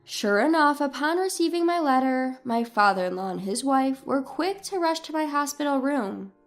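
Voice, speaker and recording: High, lively woman narrating a story. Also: normal speed, faint music behind. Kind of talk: scripted first-person dramatic narration.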